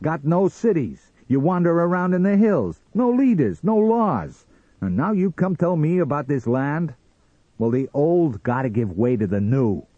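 A man voicing a brash, boastful, hot-tempered hot dog vendor in an audiobook story, loud and blustering. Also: mid-range voice, breathy edge, no room sound, muffled recording, pitched above the performer's own voice. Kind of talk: dramatised audiobook character reading.

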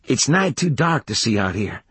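A man's voice: mid-range voice, sing-song, moderate pace, a bit bright, husky, no echo, pitched above the performer's own voice, exaggerated voice. A man voicing a laconic, plain-spoken young wanderer in an audiobook, with folksy speech. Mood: practical. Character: plain-spoken rustic youth, a wandering male kid. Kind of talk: audiobook character voice.

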